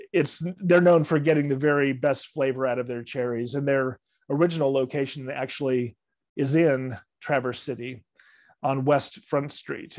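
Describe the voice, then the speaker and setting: A man's voice: mid-range voice, a little mellow, no echo. A middle-aged man giving a friendly, loosely worded travel talk with slides over a video call. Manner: friendly, loose, with fillers and restarts. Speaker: library travel club presenter. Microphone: video call webcam.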